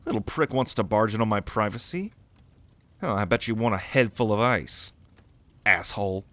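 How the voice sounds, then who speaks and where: A man's voice: fairly low pitch, expressive, normal speed, fairly bright tone, no room sound. A man playing a cocky, sardonic young prince at a mage academy in an audio roleplay, with a swaggering, theatrical manner. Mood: irritated. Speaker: ASMR roleplay voice actor.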